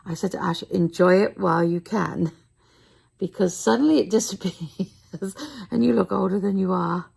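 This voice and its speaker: A middle-aged woman with a raspy, tired voice, chatting casually to camera in a rambling, self-deprecating daily vlog. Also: fairly low pitch, normal speed, a little mellow. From United Kingdom. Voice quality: raspy, gravelly. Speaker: vlogger.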